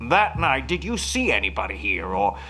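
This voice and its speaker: An actor playing a self-assured nightclub mind reader in an old-time radio mystery, with a formal, emphatic, measured delivery. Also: male voice, medium pitch, sing-song, bright, forceful tone, faint music behind. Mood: grave, confident.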